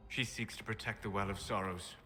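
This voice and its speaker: An actor playing a calm, wise elven companion in a fantasy game, measured, gentle and formal. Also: male voice, fairly low pitch, background noise, natural voice. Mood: grave. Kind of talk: dramatic fantasy game acting.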